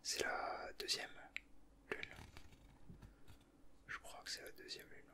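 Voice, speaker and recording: Whispering man, casual ASMR. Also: slow pace. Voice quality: soft, unvoiced whisper. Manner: informal, slangy, hesitant, rambling. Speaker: ASMR video creator, host. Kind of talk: whispered ASMR, casual flip-through commentary.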